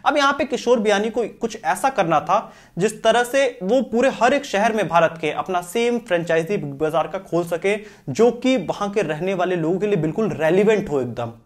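A young man hosting a business explainer, narrating in a steady, scripted way and talking with his hands, recorded on a lapel mic in a studio. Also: high voice, moderate pace.

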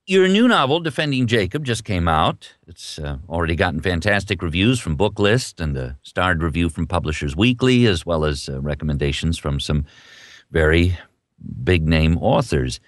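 A man's voice: deep voice, expressive, moderate pace, no room sound, clean studio sound. A man who narrates audiobooks, hosting an author interview as the interviewer.

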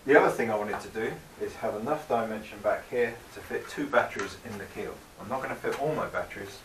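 Low-voiced man with the flu, faint hiss. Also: roomy sound, far from the mic, rough recording. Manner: explains while working with his hands. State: has the flu. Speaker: boat builder vlogger. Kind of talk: casual workshop walkthrough. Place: cold cluttered garage workshop, indoors.

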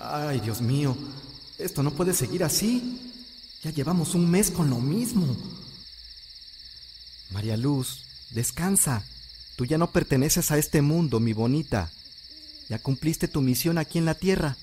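Man acting a grieving, higher-voiced Mexican father. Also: lively intonation, a little slow, pauses often, warm tone, slight background noise. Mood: sorrowful. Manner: plaintive, emotional, hesitant. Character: grieving, bewildered widowed father of young children. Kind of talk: audio drama acting.

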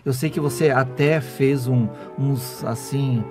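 Music plays clearly behind a male radio host in his sixties. He speaks at a medium pitch, in a conversational interviewing style. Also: steady tone, takes it slowly, darkish tone. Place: small foam-padded radio studio. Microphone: studio microphone.

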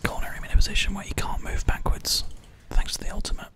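A game host giving ASMR gameplay commentary in a breathy whisper, close on a binaural microphone. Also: fast talker, bright, forceful tone. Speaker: gaming video host. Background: keyboard and mouse clicks.

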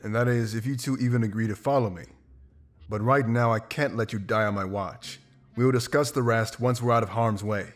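An actor playing a confident, pragmatic wolf mercenary in a video game, blunt and self-assured. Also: male voice, mid-range voice, fairly even intonation, a little fast, natural voice. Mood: matter-of-fact. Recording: music behind. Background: game music.

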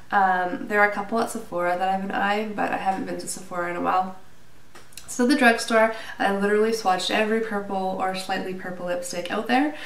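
A woman with a heavy head cold talks to her camera in a casual, chatty way, with a stuffy, nasal tone and a slightly breathless delivery. The sound is roomy and a bit distant, as though the mic is across a small room. A faint hiss runs underneath her voice. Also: mid-range voice. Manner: chatty, with fillers and small laughs. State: a little out of breath. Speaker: beauty vlogger. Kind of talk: casual product review to camera. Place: small indoor room, plain wall.

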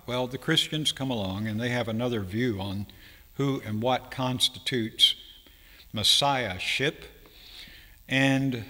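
An older man in his seventies delivers a teaching sermon at a slow, halting pace. His voice is bright and firm, carrying through a church with long reverb. He often pauses in mid-sentence as he explains. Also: lowish voice. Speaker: preacher. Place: church sanctuary, speaking from a pulpit. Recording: reverberant hall, long echo.

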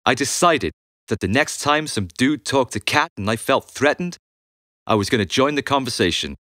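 A man reads his own memoir as an audiobook, with an animated, widely moving voice. His delivery is conversational and blunt, with firm emphasis on his points. The sound is dry and close, free of room echo. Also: mid-range voice, moderate pace. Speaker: author and musician reading own audiobook. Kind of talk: audiobook narration of a candid memoir.

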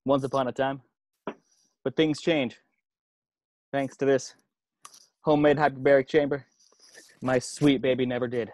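A man's voice: mid-range voice, flat delivery, moderate pace, long pauses, a little mellow, dry recording, close to an everyday voice. A man playing a cocky, secretive former hand model with a dramatic, knowing, slangy delivery in an amateur comedy script read. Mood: grave, confiding. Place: indoors at home on a video call. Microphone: video call.

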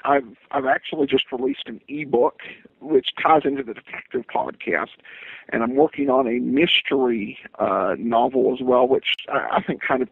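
A man giving conversational interview answers as a podcaster, in a halting, rambling way. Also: mid-range voice, creaky, husky, no echo. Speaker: podcast host, interviewed guest. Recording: muffled phone-like line.

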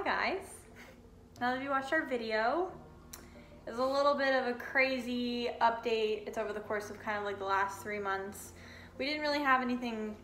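A woman's voice: fairly high pitch, fairly bright tone, slight background noise. A young woman co-hosting a van build vlog, talking casually and chatty, often exasperated. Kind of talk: casual chat. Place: small living room, indoors.

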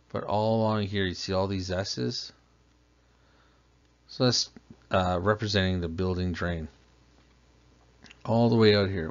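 Low-voiced man teaching over slides. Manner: patient, explains step by step with questions. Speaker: plumbing instructor. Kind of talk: slideshow lesson narration. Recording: dull lo-fi voice-over.

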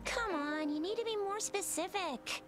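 An actress voicing an eager, upbeat young woman patrol officer who talks in a lively, chatty way in a game. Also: very high-pitched, normal speed, music in the background.

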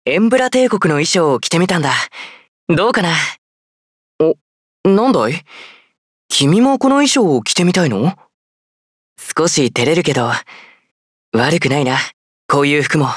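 A man voicing a gentle, loyal young armored swordsman for a video game, youthful and sincere. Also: on the high side, big pitch swings, a little fast, pauses often, husky, no room sound, clean studio sound. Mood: friendly, bashful. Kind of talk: Japanese video game character voice lines.

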